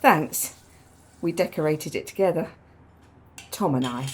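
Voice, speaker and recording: A woman's voice: low voice, a little slow, a little hiss or hum. An actress playing a frank, wry, grieving woman in a naturalistic radio drama, speaking reflectively and repeating phrases for emphasis. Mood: wistful, wry. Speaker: radio play actor.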